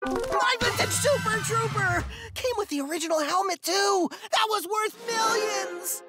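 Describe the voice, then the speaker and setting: A woman voicing an excitable, anxious young boy pig in a cartoon, emphatic and exclaiming. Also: very high-pitched, unhurried, loud music behind the voice. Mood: dismayed. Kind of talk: cartoon voice acting.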